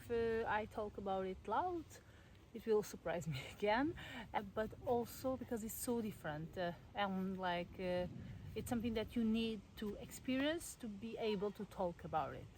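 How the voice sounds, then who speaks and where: A young woman from Portugal with a Portuguese accent, talking casually to camera in her garden, with many fillers and soft laughs. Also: medium pitch, slight background noise, low-quality recording. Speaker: vlogger. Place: garden at home in the countryside, outdoors.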